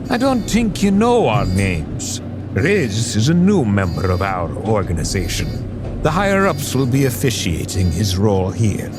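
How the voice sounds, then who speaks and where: An actor playing a blunt, opinionated male operative with wry, halting delivery in an audio drama. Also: fairly low pitch, wildly swooping pitch, music in the background. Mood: measured.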